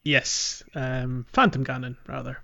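A man's voice: mid-range voice, level tone, measured pace, gravelly, sharp tone. Giggly man on a slightly dull mic. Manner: giggly, often laughing between phrases. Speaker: podcast co-host. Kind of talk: casual gaming podcast chat.

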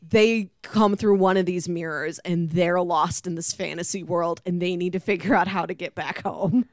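A woman's voice: on the low side, fairly even intonation, normal speed, no echo, studio quality. A woman hosting a casual pop culture discussion, chatting like an enthusiastic storyteller. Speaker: podcast host.

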